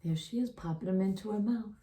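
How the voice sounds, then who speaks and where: A woman's voice: medium pitch, fast talker, dark tone. A woman reading a picture book aloud expressively as a children's story-time host. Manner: asks listeners questions as she reads.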